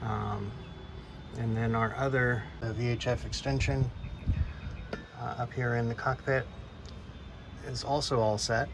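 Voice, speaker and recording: A man with a mid-pitched voice talks casually as a vlog host, explaining hands-on project work. The recording has clear ambient noise behind him. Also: flat delivery, unhurried, pauses often. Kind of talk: casual DIY boat vlog narration. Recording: clearly noisy ambient background.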